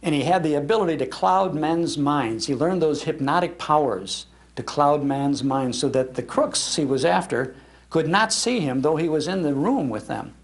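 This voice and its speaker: An older man from Chicago gives a nostalgic talk in a Midwestern accent. His voice sits slightly high for a man and has a marked gravelly creak. He tells his stories in a folksy, animated way, repeating phrases for emphasis. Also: normal speed. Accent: American Midwestern. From Chicago, United States. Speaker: speaker giving a talk on old-time radio. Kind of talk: nostalgic storytelling to a live audience. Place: public library, live talk.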